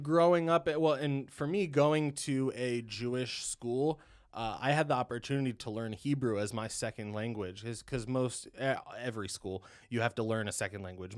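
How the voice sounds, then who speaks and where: Young man from Ohio, mid-pitched and smooth, loose conversational guest who fills pauses with "you know". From Ohio, USA. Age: 20s. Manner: rambling, heavy on "you know" and "um", laughs often. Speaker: podcast guest, dental student. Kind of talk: casual interview chat.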